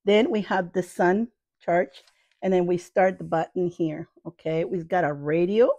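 Low-voiced woman chatting playfully. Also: fairly even intonation, a little slow, mellow, no room sound, dull audio. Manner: playful, addresses viewers affectionately, repeats phrases. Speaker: video host reviewing products. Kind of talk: casual chatty product review. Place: small cluttered room indoors.

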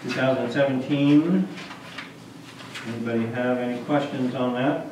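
A man chairing a council meeting, working through the agenda in a halting, procedural way. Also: medium pitch. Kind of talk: running a formal meeting agenda. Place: small council chamber, indoors. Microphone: distant camera microphone. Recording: echoey, noisy room from far off.

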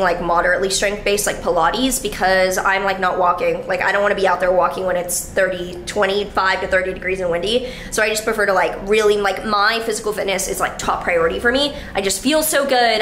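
A young woman in her twenties with a high, bright voice, chatting casually and rambling to a handheld camera in her apartment, with lots of fillers and casual swearing. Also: slight background noise. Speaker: vlogger. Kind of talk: casual vlog chat. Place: apartment living room. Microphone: handheld camera at arm's length. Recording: echoey room with faint ambience.

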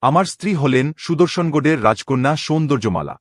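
A man voicing a solemn, respectful young prince in an animated story, speaking formally and gravely. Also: fairly high pitch, fairly quick, no room sound, studio quality. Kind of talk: dramatic Bengali animated story dialogue.

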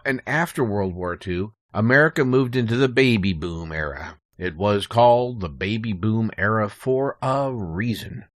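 A man's voice: medium pitch, a bit bright, no room sound, clean studio sound. A man speaking as a podcast guest, giving an explanatory history talk in an interview. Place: small recording booth.

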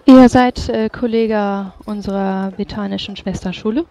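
A woman's voice: mid-range voice, fairly even intonation, moderate pace, warm tone, no echo, close to an everyday voice. A woman playing a reserved, authoritative female mage with formal, curt, hesitant speech.

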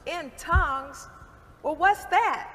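Actress as credulous churchwoman, very high bright voice, theatrical, echoing stage hall. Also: takes it slowly, exaggerated voice. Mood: incredulous. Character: credulous, reassuring churchwoman friend, early 1900s. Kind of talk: stage play acting.

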